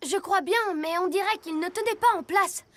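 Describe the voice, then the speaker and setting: Earnest, high-voiced hero boy. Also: normal speed, slight background noise. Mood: attentive. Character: earnest, supportive young superhero boy. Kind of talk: animated children's cartoon acting. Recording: music under the dialogue.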